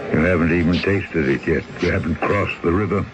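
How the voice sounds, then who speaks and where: A man's voice: deep voice, brisk, noisy background, close to an everyday voice. An actor playing a weary, fatalistic old Siberian boatman in an old-time radio drama, speaking in a stern, philosophical, declamatory way. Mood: weary, resigned. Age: older.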